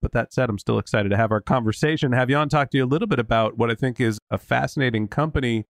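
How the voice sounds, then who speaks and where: A man hosting a podcast, friendly and loose as he sets up his guest. His voice sits a little lower than it normally would. The recording is dry and close. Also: fast, no room sound. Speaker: podcast host. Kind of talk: interview hosting.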